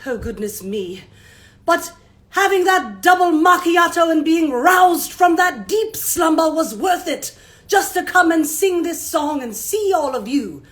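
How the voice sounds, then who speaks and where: A woman voicing a groggy male owl puppet with a croaky voice and drowsy, old-fashioned politeness. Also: sits high, fairly bright tone, exaggerated voice.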